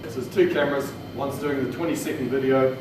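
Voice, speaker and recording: Kiwi guy doing a relaxed-format tech training talk, really echoey room, clear background noise. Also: medium pitch, quick pace. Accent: New Zealand. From New Zealand. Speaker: presenter, technical trainer. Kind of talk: informal technical presentation. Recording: echoey room, distant mic, noisy.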